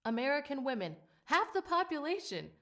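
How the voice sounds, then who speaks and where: An assertive advocate acted by a woman sets the record straight in an audio play. Her voice is fairly high and her delivery pointed. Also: very animated, a little fast, fairly bright tone, exaggerated voice. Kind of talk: scripted audio play reading.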